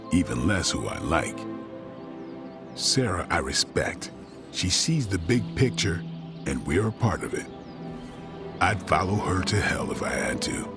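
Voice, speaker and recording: A very deep, gruff vampire hunter. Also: male voice, exaggerated voice. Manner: terse, deadpan, dryly blunt. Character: gruff stoic male vampire hunter, dry and loyal. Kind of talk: video game cutscene dialogue. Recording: music under the dialogue. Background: music.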